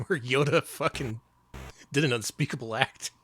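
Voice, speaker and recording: A man's voice: mid-range voice, normal speed, a bit bright, breathy edge, no room sound. A man co-hosting a retro game stream, casual and sarcastic, laughing often and swearing. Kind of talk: casual gaming chat.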